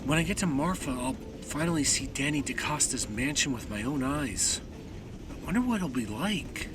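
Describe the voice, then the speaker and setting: A man's voice: medium pitch, lively intonation, normal speed, background noise, lightly acted. A man playing a polite, wide-eyed traveller full of wonder in an audio drama.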